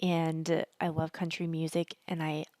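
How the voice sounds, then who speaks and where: Hoarse, low-voiced woman talking stocks. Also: level tone, unhurried, darkish tone, dry recording. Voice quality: husky, worn voice. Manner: chatty, thinking aloud. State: partly hoarse from singing at a concert. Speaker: stock trading educator, video host. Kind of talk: casual screen-share market commentary.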